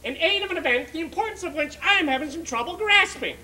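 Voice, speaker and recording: A man's voice: very high voice, animated, fast, bright, forceful tone, recorded from a distance, slight background noise, voice pushed up, exaggerated voice. A man voicing an imperious, self-pitying older mother with indignant, melodramatic delivery in a stage monologue.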